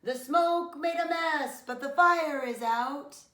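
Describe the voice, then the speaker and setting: Woman as a high, shouting fire chief, echoey. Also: takes it slowly, distant mic, heavily acted. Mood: loud announcing. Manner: loud, high, calling out. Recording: roomy echo, away from the mic.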